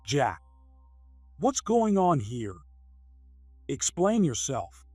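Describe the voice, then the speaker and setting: A man's voice: on the high side, expressive, normal speed, a little mellow, no room sound, soft background music, lightly acted. A man playing a stern, authoritative older company president in a story drama, commanding and angry. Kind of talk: scripted dramatic story dialogue.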